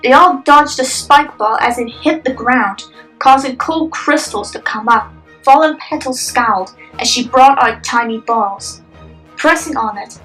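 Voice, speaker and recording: A woman's voice: on the high side, bright, forceful tone, far from the mic, low-quality recording. A young adult woman reading scripted narration for a dramatic story.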